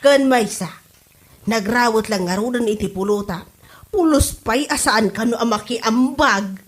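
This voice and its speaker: A disapproving older neighbour played by a woman in a comedy audio drama. She gossips matter-of-factly in a fairly high voice with an Ilocano accent. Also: a little slow, close to an everyday voice. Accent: Filipino, Ilocano.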